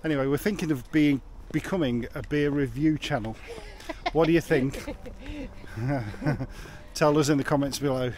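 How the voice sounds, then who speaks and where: A man's voice: a little high, normal speed, long pauses. A man in his fifties from England, chatting casually and jokily to a handheld camera while walking around outdoors. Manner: chatty, jokey, rambling with restarts. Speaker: vlogger. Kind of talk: casual travel vlog chat. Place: outdoors in town streets and a garden. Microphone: camera held at arm's length.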